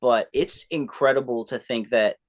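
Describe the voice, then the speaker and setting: A mid-pitched man in his thirties talks as a podcast guest. He rambles through his thoughts with plenty of fillers and self-corrections, casual and unpolished. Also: steady tone, moderate pace. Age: 30s. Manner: rambling, self-deprecating, many fillers and restarts. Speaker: musician guest. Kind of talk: casual interview chat.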